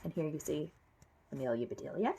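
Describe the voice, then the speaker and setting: A woman reads a children's book aloud with a lively, swooping delivery. Her voice sits a little low and has a husky edge. She speaks expressively and turns to her listeners to point things out. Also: a little fast. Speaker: reader of children's books for an online series. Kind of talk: animated read-aloud storytelling with comments to viewers.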